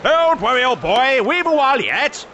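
An actor playing a posh, unflappable old-fashioned British military chap in a video game, with chummy understatement and an upper-class accent. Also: male voice, extremely high pitch, big pitch swings, normal speed, pushed and bright, dull audio, a little hiss or hum, full character voice. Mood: breezy, unruffled. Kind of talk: video game cutscene acting.

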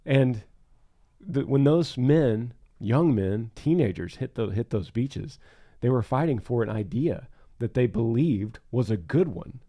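A man's voice: medium pitch, expressive, measured pace, dark tone. A man co-hosting a podcast, talking in an earnest, emphatic and reflective way. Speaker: podcast co-host. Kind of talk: casual podcast talk.

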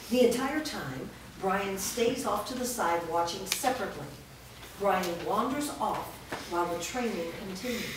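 A woman narrating stage directions in a staged script reading, reading them clearly and evenly. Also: mid-range voice. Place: large indoor studio room. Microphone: far camera. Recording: echoey room from far off, noisy background.

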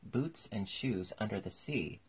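An impatient, bossy gryphon from a storybook, acted out by a man. The voice is husky and creaky, with blunt, matter-of-fact orders. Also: on the low side, expressive, a little slow, exaggerated voice. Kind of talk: storybook character voice.

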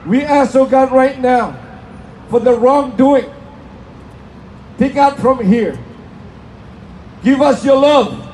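Fervent high-voiced man with Indonesian accent. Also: slow pace, long pauses, dark tone, a little hiss or hum. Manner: fervent, preacherly, broken English. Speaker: speaker at a rally. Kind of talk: impassioned rally speech and prayer. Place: outdoor city plaza with a crowd. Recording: phone video of an outdoor rally.

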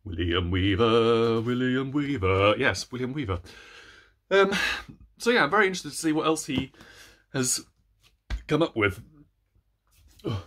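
A man in his thirties chatting casually to camera as a book vlogger, playful, rambling and animated, with self-deprecating asides. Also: medium pitch, unhurried, pauses often. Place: small room at home with bookshelves.

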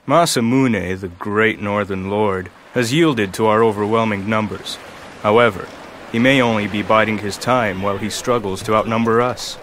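An actor playing a cool, calculating young samurai lord with a measured, skeptical manner in a video game. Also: male voice, on the low side, a bit bright, a little hiss or hum, close to an everyday voice. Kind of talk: dramatic video game acting.

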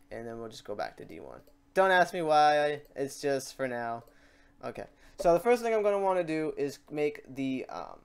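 Man, mid-to-high pitch for a male, clean smooth voice, informal tutorial host, thinks aloud, self-deprecating. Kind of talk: casual, improvised software tutorial.

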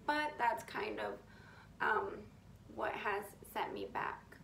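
A young woman with a deep, raspy voice, talking openly to camera from her bedroom in a casual, rambling way with lots of "you know". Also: slow, fairly bright tone, reverberant, slight background noise. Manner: open, rambling, heartfelt, many fillers like "you know". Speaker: beauty influencer. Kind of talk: candid sit-down vlog to camera. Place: bedroom at home, indoors. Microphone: camera in front at close range.

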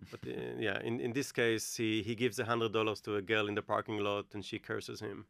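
A man hosting a casual podcast chat with quick, jokey asides, in a small wood-panelled studio on a table mic. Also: on the low side, flat delivery, creaky, fairly bright tone, dry recording. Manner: quick, wry, jokey interjections. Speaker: podcast host. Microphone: table mic on stand.

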